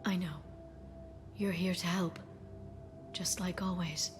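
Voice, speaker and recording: A woman's voice: on the low side, steady tone, takes it slowly. An actress playing a determined, coolly rational woman in a science fiction video game, earnest and resolute, with a guarded manner. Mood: soft, vulnerable. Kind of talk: dramatic video game acting. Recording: music under the voice.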